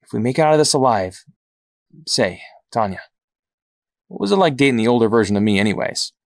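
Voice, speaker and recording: Gravelly, casual young hero played by a man. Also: mid-range voice, animated, no room sound, studio quality. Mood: curious, cocky. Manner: casual, halting. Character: Rocky, cocky but regretful young male hero. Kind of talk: amateur audio drama acting.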